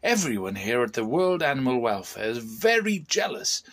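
Male wildlife director acted by a storybook reader, mid pitch, emphatic, earnest, excitable. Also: moderate pace. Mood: keen, advising.

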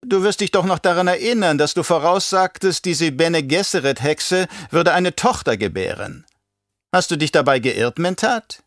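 A man's voice: on the high side, expressive, moderate pace, fairly bright tone, no room sound, studio quality, pitched above the performer's own voice. A man playing a scheming, imperious older baron in an audiobook, with a mocking, condescending, theatrical delivery. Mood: silky, needling. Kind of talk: audiobook character acting.

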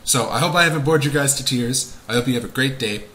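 A man's voice: medium pitch, fast talker, bright, forceful tone, slight background noise. A young adult man talking casually and emphatically to a webcam at home, chatty and enthusiastic as he gives a review. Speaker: vlogger reviewing audiobooks. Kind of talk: casual spoken review to camera. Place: small indoor room at home. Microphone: webcam microphone at arm's length.

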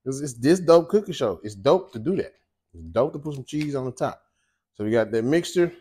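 A man's voice: mid-range voice, fairly quick, husky. Chatty man hosting a home cooking show. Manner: chatty, animated, talks straight to viewers. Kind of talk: casual cooking chat and monologue. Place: home kitchen, indoors.

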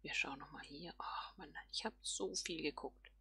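A woman giving casual game commentary, musing softly and half whispering to herself. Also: sits low, normal speed, husky, no room sound. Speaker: let's player.

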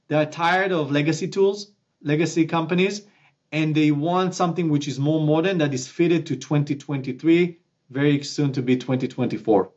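A man, a founder answering questions in a remote interview, animated and prone to restarts. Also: on the high side, lo-fi sound. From Israel. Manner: animated, gestures with both hands, many restarts. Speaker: company founder guest. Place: small office at home, indoors. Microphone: webcam at desk, video call.

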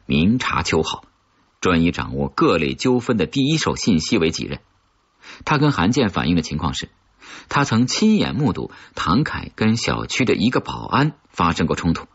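A man narrating a crime thriller audiobook in a husky, measured, dramatic storytelling manner. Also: deep voice. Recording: slightly dull, lo-fi audio.

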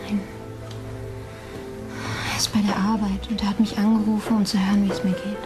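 A woman's voice: medium pitch, flat delivery, fairly quick, music in the background, lightly acted. A woman acting a grieving, guarded girlfriend in a crime radio play, giving short subdued answers. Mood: subdued, defensive. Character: grieving, guarded young girlfriend of a dead man.

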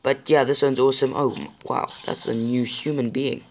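A man with a sore throat hosts a gaming channel, talking over his play at a middle pitch. His voice sounds a bit rough, and he chats casually and apologetically to his viewers. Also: steady tone, moderate pace, lo-fi sound, a little hiss or hum. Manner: chatty, apologetic, addresses viewers directly. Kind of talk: casual gameplay commentary.

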